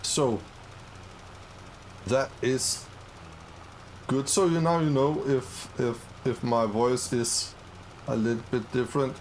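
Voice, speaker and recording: Upbeat, slow-talking gamer man. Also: medium pitch, slight background noise, poor audio. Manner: upbeat, easily excited, many fillers. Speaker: gaming channel host. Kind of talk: casual let's-play commentary.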